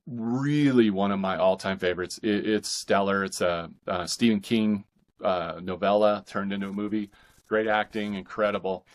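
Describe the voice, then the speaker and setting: Low-pitched American man in his forties. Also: steady tone. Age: 40s. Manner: halting, thinking aloud, enthusiastic. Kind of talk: casual interview chat.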